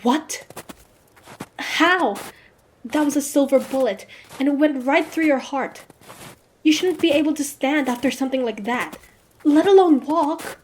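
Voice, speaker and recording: A woman's voice: high voice, measured pace, pauses often, a little hiss or hum. A woman playing a proud, defiant monster hunter in a dramatic fantasy audio roleplay. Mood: angry, shocked. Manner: haughty, taunting, dramatic. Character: proud, defiant young female monster hunter, grudgingly curious.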